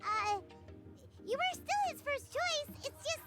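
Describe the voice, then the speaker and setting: A woman voicing a small, excitable fairy girl companion in an anime video game, squeaky and childlike, with a chirpy, easily flustered manner. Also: takes it slowly, soft background music, heavily acted.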